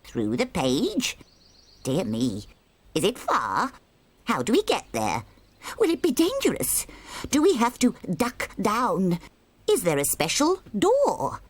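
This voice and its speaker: A woman's voice: mid-range voice, huge pitch swings, takes it slowly, no room sound, voice pushed up, full character voice. A woman voicing a fussy, fretful female duck in a children's storybook, with music behind. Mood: fussing. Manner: fretful, prim.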